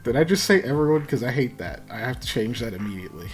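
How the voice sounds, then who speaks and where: A man's voice: medium pitch, lively intonation, quick pace. Male co-host of a casual stream, laughs a lot, swears, pokes fun at himself, faint music underneath. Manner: laughing, swearing, self-deprecating. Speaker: podcast co-host reading chat. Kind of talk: casual livestream chat.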